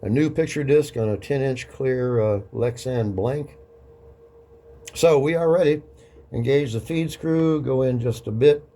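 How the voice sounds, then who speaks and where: Mellow, creaky-voiced man talking through a task. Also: medium pitch, unhurried. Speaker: record-cutting hobbyist demonstrating a lathe. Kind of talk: casual narrated workshop demonstration.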